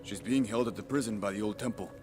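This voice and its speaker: A man voicing a grave villager who speaks in a low-key way. His voice is lowish and quiet. Loud music runs behind him in a game cutscene. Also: fast talker, natural voice. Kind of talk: video game cutscene acting.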